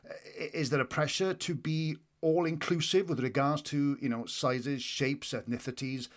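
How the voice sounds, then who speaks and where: A man's voice: on the high side, fairly even intonation, a little slow. An older man hosting a podcast interview, asking questions hesitantly with many restarts. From Wales. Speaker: podcast host.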